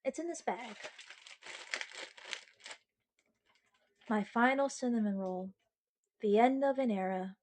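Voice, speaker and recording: A woman's voice: fairly high pitch, darkish tone, lightly acted. A woman acting a sweet, sheepish girlfriend in an ASMR roleplay, chatting earnestly and bashfully to the listener with playful self-mockery. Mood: mock mournful. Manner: chatty, halting, bashful with dramatic mock seriousness.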